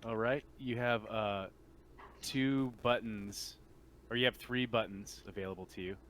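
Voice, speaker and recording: Low-voiced man running a tabletop game. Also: steady tone, measured pace, background noise. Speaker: game master. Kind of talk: casual narration and refereeing of a tabletop game.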